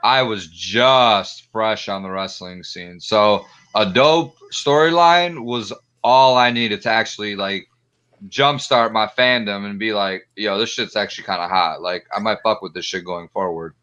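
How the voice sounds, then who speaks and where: A man's voice: fairly low pitch, a little slow, sharp tone, clean tone. A man in his twenties chatting casually and enthusiastically as a podcast panelist, with slang and swearing. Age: 20s. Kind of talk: casual storytelling chat. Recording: dull lo-fi sound.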